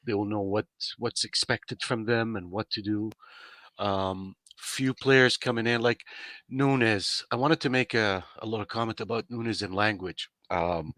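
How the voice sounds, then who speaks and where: A man whose first language is Arabic, explaining his thoughts calmly on a podcast and often checking in with "right?". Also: on the low side, a little slow, dry recording. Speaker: podcast panelist. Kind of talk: casual podcast chat.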